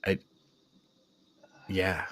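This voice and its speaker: A man's voice: drawn-out words, fairly bright tone, no echo. A man co-hosting a casual film review chat in an informal, exasperated manner. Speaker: podcast co-host reviewing films.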